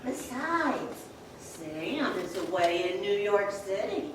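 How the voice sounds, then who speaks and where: A woman's voice: medium pitch, sing-song, takes it slowly. A woman playing a bossy, meddling older small-town mother in an old-time radio play. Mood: coaxing. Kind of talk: old-time radio drama stage acting. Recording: echoey theater, distant mic, noisy.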